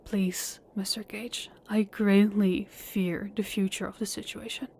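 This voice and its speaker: Woman playing a desperate young debtor, mid-pitched voice, polite, pleading. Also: level tone, measured pace, a little mellow, husky. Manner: formal and deferential, pleading. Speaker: voice actor and channel host. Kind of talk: audio roleplay acting.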